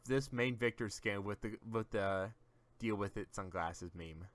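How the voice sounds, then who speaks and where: A man's voice: lowish voice, a little slow, a bit bright, no echo. A man casually chatting on a gaming stream, giving rambling, teasing explanations to a friend as he shows off game characters.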